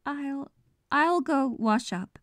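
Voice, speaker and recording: An actress voices a fragile young female unicorn from a cartoon fan drama. Her high voice is soft and halting, a slow, remorseful murmur recorded dry and close. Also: expressive, dry recording. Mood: shaky, grateful. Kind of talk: fan audio drama acting.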